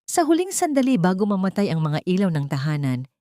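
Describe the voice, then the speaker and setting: A woman narrating a scripted dramatic story in a measured, solemn and sentimental manner. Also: lowish voice, huge pitch swings, dark tone, no echo, clean studio sound. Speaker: narrator of audio drama stories.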